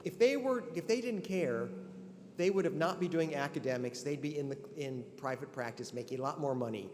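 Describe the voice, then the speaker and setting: A man's voice: a little high, brisk, darkish tone. An older man giving an earnest, conversational ceremonial address, with many restarts. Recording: long hall reverb, faint ambience.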